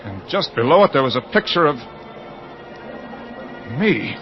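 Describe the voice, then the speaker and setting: Bewildered, brooding young American amnesiac, suspense radio narrator, lo-fi vintage sound, music underneath. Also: male voice, fairly high pitch, sing-song, measured pace. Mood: brooding, uneasy. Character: bewildered, anxious amnesiac young male mystery writer. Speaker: lead actor and narrator. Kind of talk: old-time radio drama acting, first-person suspense narration. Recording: dull old radio recording, music behind.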